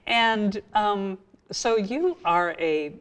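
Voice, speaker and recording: A woman hosting a community television interview, pausing often and searching for her words. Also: medium pitch, expressive, fairly bright tone, clean tone. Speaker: host.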